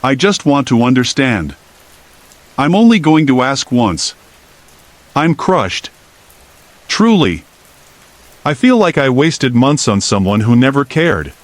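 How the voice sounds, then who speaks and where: A man narrating first-person horror stories in a calm scripted reading, with steady rain behind him. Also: medium pitch, slow pace, pauses often.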